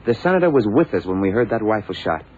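An actor playing an eager, deferential police sidekick in an old radio drama, earnest and a little hesitant. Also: male voice, on the low side, very animated, fast talker, darkish tone, slightly rough. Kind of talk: 1960s-70s radio drama acting. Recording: muffled, noisy old radio recording.

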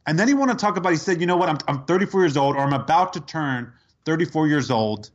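Male co-host, casual sports chat, animated and laughing, a touch high. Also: quick pace, lo-fi sound. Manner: animated, incredulous, laughing storyteller. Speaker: podcast co-host.

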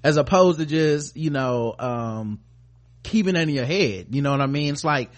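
A man's voice: fairly high pitch, expressive, moderate pace. A man hosting a podcast with casual commentary, talking in a rambling, informal way full of slang and swearing. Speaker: podcast host.

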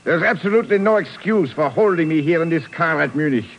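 An actor playing an indignant, haughty doctor villain in a 1950s radio drama, pompous and stiff. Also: male voice, a little high, expressive, fast, vocal fry. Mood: indignant, threatening. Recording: muffled, noisy 1950s radio recording.

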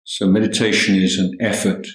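A man giving a guided meditation talk as a Buddhist teacher, calm and measured, with long pauses between short phrases. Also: low voice. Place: indoor room at a monastery. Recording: roomy, echoing hall sound.

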